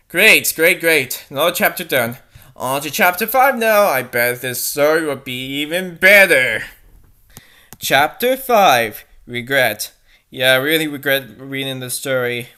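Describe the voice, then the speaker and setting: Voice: mid-range voice, slow pace, sharp tone, clear, resonant tone. A reader performing amateur fan fiction aloud with sarcastic commentary, in a theatrical way.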